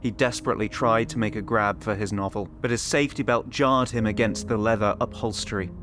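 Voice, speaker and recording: A man's voice: on the low side, fairly even intonation, fairly quick, music in the background. A man reading scripted audio fiction as the story's narrator.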